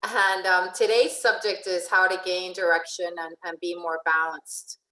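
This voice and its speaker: A woman's voice: fairly low pitch, level tone, moderate pace, pushed and bright. A woman who grew up in Venezuela, a life and relationship coach giving an informal online presentation. She talks in a chatty way, often restarting her sentences and checking in with "right?". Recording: echoey room.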